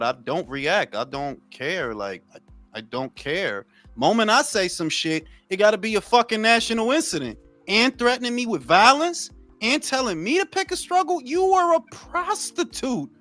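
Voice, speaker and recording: A man's voice: very high voice, fairly bright tone. A man hosting a casual boxing talk show, ranting bluntly and profanely. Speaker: podcast host.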